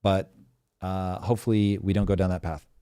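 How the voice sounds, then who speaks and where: A man's voice: low-pitched, little pitch movement, moderate pace, dark tone, clean tone, dry recording, studio quality. A man hosts a live show and talks animatedly, explaining things at length with examples. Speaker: show host.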